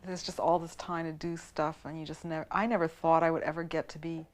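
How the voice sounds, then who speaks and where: A woman in her forties speaks in a slightly low voice, reflecting on her life in an interview. Her delivery is thoughtful and soft, with phrases that trail off into quiet. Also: flat delivery, fairly quick. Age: 40s.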